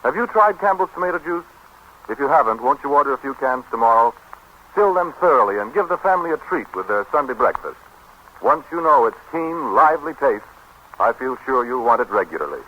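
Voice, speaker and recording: A man's voice: mid-range voice, big pitch swings, fairly quick, sharp tone. An American man announcing on 1930s radio, with a polished, enthusiastic salesman's delivery. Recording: dull, hissy 1930s radio broadcast.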